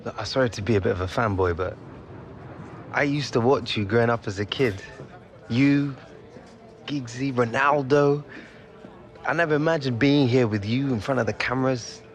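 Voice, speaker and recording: An actor playing an earnest, modest young English star footballer in a video game cutscene, speaking casually. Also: male voice, mid-range voice, normal speed, long pauses, natural voice. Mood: friendly, eager. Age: young adult. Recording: loud music behind the voice. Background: music.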